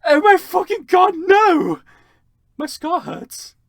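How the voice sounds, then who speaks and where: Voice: extremely high pitch, sing-song, slow, vocal fry, no room sound, clean studio sound, voice pushed up, exaggerated voice. A reader voicing a panicked teenage vampire boy wizard in a whiny, frantic manner. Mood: wailing agony.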